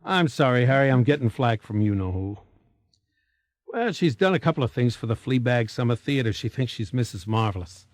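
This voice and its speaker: An American actor playing a breezy, self-absorbed husband in a radio drama, offhand and dismissive. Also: male voice, medium pitch, lively intonation, fairly quick, no echo, studio quality, close to an everyday voice. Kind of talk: 1980s radio drama acting.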